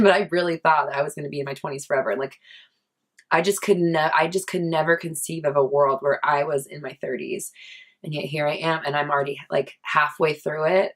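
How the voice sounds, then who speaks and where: A woman in her thirties speaks straight to her viewers in a loose, confessional vlog. Her voice sits low for a woman, and she talks in a chatty, candid way, full of likes and restarts, with casual swearing. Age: 30s. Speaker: vlogger.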